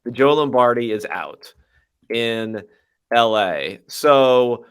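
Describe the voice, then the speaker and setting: A man's voice: medium pitch, lively intonation, fairly bright tone, smooth. Wry male podcast host. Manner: quick, wry, sarcastic asides. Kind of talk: casual sports chat.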